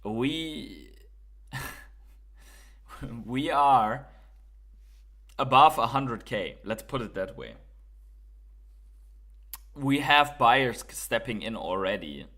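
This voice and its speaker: A man's voice: medium pitch, expressive, extremely slow, pauses often, bright, forceful tone. A man from Germany with a German accent, hosting a casual crypto livestream, reading viewer comments and thinking aloud with fillers and repeats.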